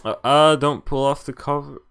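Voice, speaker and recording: A man's voice: a little high, steady tone, moderate pace, creaky, fairly bright tone, smooth, faint music behind. A man giving casual, amused and incredulous live commentary on a visual novel game, reading some of its text aloud. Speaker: game streamer.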